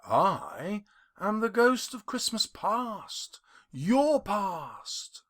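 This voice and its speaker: A solemn, ageless storybook spirit, played by a man reading aloud. It speaks softly and gently, in very slow, measured phrases. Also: high voice, wildly swooping pitch, heavily acted. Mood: solemn, reproachful.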